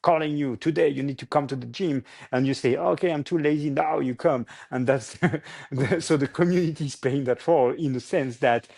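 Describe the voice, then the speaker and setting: A man's voice: a little high, normal speed, creaky, darkish tone. A man, a startup founder speaking as a guest in a casual interview and often searching for English words. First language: French.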